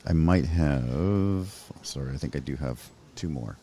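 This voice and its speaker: A man's voice: rumbling low, measured pace, dark tone, slight background noise. A man hosting a casual podcast interview, laid-back and full of easy affirmations like okay and beautiful. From Mexican family, raised in California. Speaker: podcast host. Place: bar venue, live stream.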